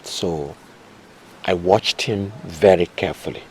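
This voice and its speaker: A principled, respectful nephew in a film drama, earnest and measured. He talks slowly in a lowish voice, with faint noise behind. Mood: earnest, explaining. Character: humble, principled nephew addressing his uncle respectfully.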